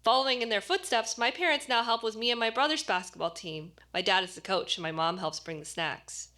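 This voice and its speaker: A woman actor reading a short story aloud as expressive scripted narration. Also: a little high, brisk.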